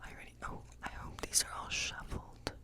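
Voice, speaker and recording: A woman playing a quirky, playfully spooky witch in a whispered ASMR role play, murmuring haltingly and repeating words to herself. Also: lightly acted. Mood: delighted, excited. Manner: hushed, halting, muttering repetitions to herself.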